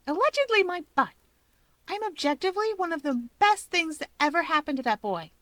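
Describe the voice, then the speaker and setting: A woman's voice: very high-pitched, lively intonation, brisk, a bit bright, dry recording, voice pushed up. A woman voicing a snippy, self-assured, playfully haughty young woman who retorts peppily in a fan fiction audiobook. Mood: indignant.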